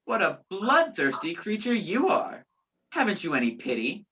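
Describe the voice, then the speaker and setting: A woman's voice: medium pitch, wildly swooping pitch, moderate pace, fairly bright tone, a little hiss or hum. A woman acting in a radio play as a sardonic, commanding man on the run, with dry sarcasm and clipped orders. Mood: dry, sarcastic. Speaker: student stage actor. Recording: dull, lo-fi virtual recording.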